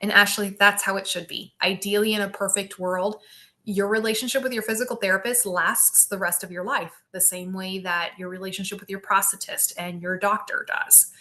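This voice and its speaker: A middle-aged woman physical therapist hosting a live Q&A stream, explaining anatomy with enthusiasm and often checking in with "right?". She projects loudly after taking off her microphone. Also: medium pitch, level tone, normal speed. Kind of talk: live-stream Q&A, casual teaching. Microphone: built-in device mic.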